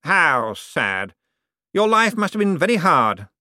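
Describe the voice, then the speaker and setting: A man's voice: fairly high pitch, very animated, takes it slowly, sharp tone, dry recording, clean studio sound, pitched above the performer's own voice, heavily acted. A man playing a vain scheming rich man.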